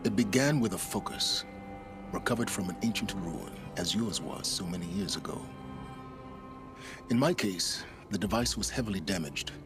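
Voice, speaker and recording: An older scholar in a game cutscene, enigmatic and calculating, with a very low voice. He speaks in measured, eloquent, theatrical phrases over loud music. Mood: reminiscing. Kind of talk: dramatic video game cutscene acting.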